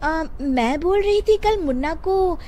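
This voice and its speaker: A woman voicing a polite young working wife who speaks softly and pleads. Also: very high-pitched, expressive, takes it slowly, slight background noise, voice pushed up, heavily acted. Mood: pleading.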